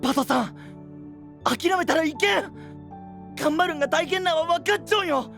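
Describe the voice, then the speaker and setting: A man's voice: very high-pitched, moderate pace, vocal fry, fairly bright tone, faint music behind, full character voice. A man playing an earnest, encouraging young knight in a voice drama, heartfelt and pleading, with an Oita dialect from western Japan. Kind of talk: anime-style voice drama acting.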